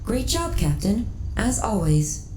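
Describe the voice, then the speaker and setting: Sci-fi ASMR roleplay: lonely, devoted female ship computer acted by a woman, polite and soft, dark relaxed tone, echoing space with faint noise. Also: mid-range voice. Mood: politely reassuring. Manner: measured, polite, formal phrasing. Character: lonely female starship AI, gentle, devoted, wistful. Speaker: voice actor in an audio roleplay. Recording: roomy echo, faint background noise.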